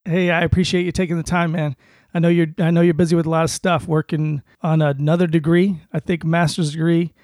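A man's voice: on the high side, level tone, brisk, a little mellow, no echo. Male podcast host, dry sound. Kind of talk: podcast interview.

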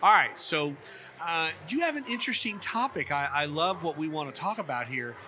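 A man hosting an on-location interview, talking in a folksy, effusive and halting way. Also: a little high, animated, sharp tone. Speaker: podcast host. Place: busy conference venue at a hotel. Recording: clear background noise on location.

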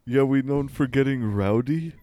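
A man bantering in deadpan, mock-serious comic style as a guest. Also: medium pitch, normal speed, no echo. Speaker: comedy musician guest. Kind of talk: absurd comedic banter.

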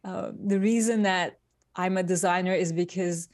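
A woman from Turkey, a guest designer, speaking in a thoughtful, unhurried way in a casual interview over a remote call. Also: lowish voice, fairly even intonation, darkish tone, no echo, clean studio sound.

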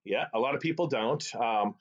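A man's voice: medium pitch, normal speed. Middle-aged boston guy, arabic first language, chatty storyteller, kinda dull lo-fi call sound. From Boston area, USA. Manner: animated, chatty, tag questions like "right?". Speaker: guest, sales executive. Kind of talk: casual storytelling interview. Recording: slightly dull lo-fi call audio.

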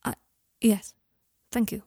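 A woman voicing a proud but fragile wizard father, wry and refined, tender with his son and quick to defend himself. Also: dark tone, no room sound, studio quality, close to an everyday voice. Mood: awkward.

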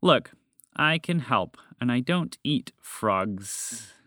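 A man voicing a helpful, wry female garter snake, speaking in a drawn-out, sardonic, teasing way. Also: medium pitch, expressive, pushed and bright, no echo, clean studio sound, full character voice. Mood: amused.

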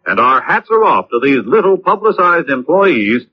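A man working as a radio announcer reads a scripted 1950s public-service message with folksy, deliberate emphasis and a husky voice. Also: on the high side, big pitch swings. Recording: muffled old radio recording.

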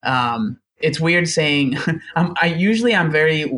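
A man's voice: a little high, animated, measured pace, fairly bright tone. A young man telling his story as a podcast guest, chatty and self-deprecating, often laughing. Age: young adult. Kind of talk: casual storytelling interview.

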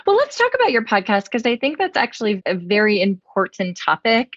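A woman's voice: medium pitch, huge pitch swings, brisk, fairly bright tone, dry recording, lo-fi sound. A woman hosting an interview, asking thoughtful questions and affirming her guest. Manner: affirming, thoughtful, many "right?" tags. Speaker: interviewer host. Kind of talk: conversational interview.

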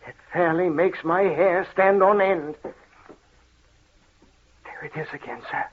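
An actor playing a deferential, nervous and grumbling manservant in 1930s radio style. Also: male voice, high voice, unhurried. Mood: nervous, weary. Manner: obedient, anxious. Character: deferential, nervous, grumbling male servant named John. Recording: tinny, noisy 1930s radio recording.

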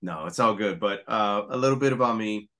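Deep-voiced middle-aged man telling his story. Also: brisk. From Puerto Rico. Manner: relaxed, storytelling with frequent restarts. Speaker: guest, apparel company founder. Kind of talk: conversational interview.

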